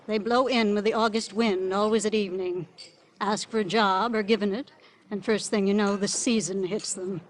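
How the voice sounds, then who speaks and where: A fussy woman running a tea shop , played by a radio actress, chattering with arch, rambling politeness. Her pitch sits mid-range for a woman. The delivery is mannered old radio drama, with faint noise on the recording. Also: fairly even intonation, normal speed, lightly acted, rough recording. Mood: gossipy, airy. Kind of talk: 1940s-50s American radio drama acting.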